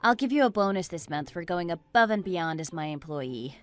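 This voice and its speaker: Lowish-voiced wronged wife telling her story, read by a woman. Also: expressive, moderate pace, natural voice. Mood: apologetic. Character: wronged wife recounting her suspicions, apologetic and troubled. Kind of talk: scripted first-person story narration.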